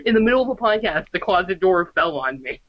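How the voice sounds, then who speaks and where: High-voiced man with deadpan quips, lo-fi. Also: quick pace, no room sound. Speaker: podcast co-host. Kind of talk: casual chat. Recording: dull, lo-fi mic sound.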